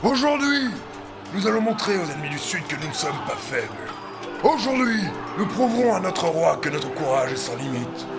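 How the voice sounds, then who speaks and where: An actor playing a fierce military commander rallying soldiers in a declamatory fantasy audio drama style. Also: male voice, sits high, very animated, normal speed, creaky, music in the background, exaggerated voice. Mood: fierce, rousing. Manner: declamatory, martial.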